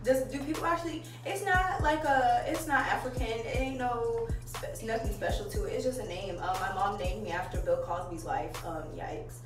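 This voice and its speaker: A young woman from Maryland talks candidly and laughs easily as she curls her hair at home. Her fairly high voice sounds distant and echoey, picked up by a phone set away from her, with music playing clearly behind. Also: normal speed, few pauses. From Maryland, USA. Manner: chatty and candid, laughs often, swears casually. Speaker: vlogger. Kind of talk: casual chat while curling hair. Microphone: phone camera.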